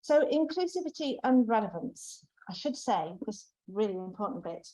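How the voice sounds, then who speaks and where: A woman with a squeaky voice chairing a meeting and presenting from a stage in a welcoming way. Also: sing-song, normal speed, dark tone, low-quality recording. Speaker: network chair. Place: theatre stage.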